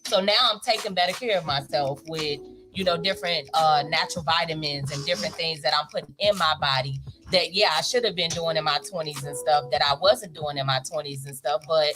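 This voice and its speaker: A woman in her thirties on a casual panel chat, reflective and quick to laugh. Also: sits low. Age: 30s. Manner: reflective, laughs often, faith-minded. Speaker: co-host.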